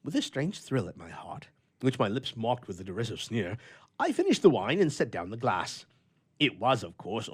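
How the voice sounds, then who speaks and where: A man playing a grumpy, flustered bachelor with a soft heart, telling his tale with theatrical bluster and stammering in old-fashioned storybook prose. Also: mid-range voice, husky. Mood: haunted, uneasy.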